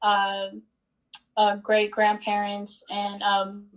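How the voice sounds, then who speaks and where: A woman in her twenties, born in Bosnia and Herzegovina, answering interview questions in an unhurried way with many pauses and fillers. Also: mid-range voice, completely flat delivery, rough recording. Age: 20s. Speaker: guest, student athlete.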